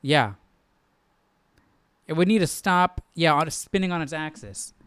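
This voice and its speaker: A man's voice: fairly high pitch, animated, a little slow, fairly bright tone, clear, resonant tone, no echo. A man hosting a live Bible study, thinking aloud in a conversational, questioning way. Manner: conversational, questioning, repeats himself for emphasis.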